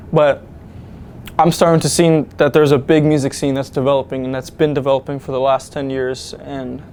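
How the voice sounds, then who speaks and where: A man's voice: medium pitch, darkish tone, a little hiss or hum. A young man, a student musician, answering interview questions in an earnest, enthusiastic, rambling way. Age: young adult.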